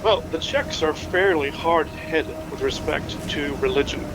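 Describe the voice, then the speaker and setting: An actor playing a knowledgeable history buff in an audio drama, explaining in measured, careful phrases. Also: male voice, a little high, background noise. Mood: measured, informative. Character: knowledgeable, measured amateur historian named Steve. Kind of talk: naturalistic fiction podcast acting, explanatory.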